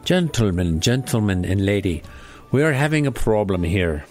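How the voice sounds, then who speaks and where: A man's voice: on the low side, very animated, normal speed, a little mellow, soft background music, pitched below the performer's own voice. A man voicing a pompous, easily exasperated leprechaun chairman in a comic radio play. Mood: pompous, businesslike. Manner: pompous, exasperated formal address. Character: bossy leprechaun chairman running a meeting.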